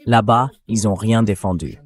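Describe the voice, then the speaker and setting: A man's voice: lowish voice, normal speed. A man reading a translated interview as a guest commentator, speaking bluntly and emphatically about military affairs.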